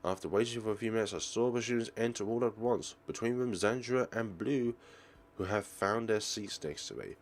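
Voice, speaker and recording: A man hosting a let's play, reading visual novel narration aloud in a theatrical, expressive way. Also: lowish voice, brisk.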